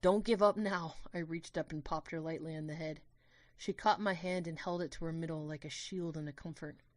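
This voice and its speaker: A woman's voice: deep voice, steady tone, fairly quick, no echo, clean studio sound. A woman reading scripted first-person story narration as the narrator of an audio drama.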